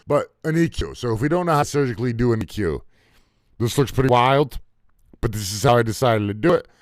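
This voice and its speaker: A man with a deep voice, talking loosely through a music mixing tutorial with fillers and casual swearing. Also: expressive, a little fast, no room sound, clean studio sound. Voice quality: deep voice, muffled by a faulty mic input. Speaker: music producer teaching mixing. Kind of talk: casual screen-recorded tutorial. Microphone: desktop mic into recording software.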